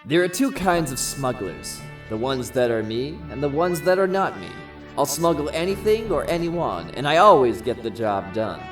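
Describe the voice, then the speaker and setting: A man's voice: medium pitch, expressive, moderate pace, distant mic, close to an everyday voice. A man voicing a vain, boastful young smuggler who narrates a flashback in a parody cartoon. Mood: smug. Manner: smug, bragging. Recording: music behind.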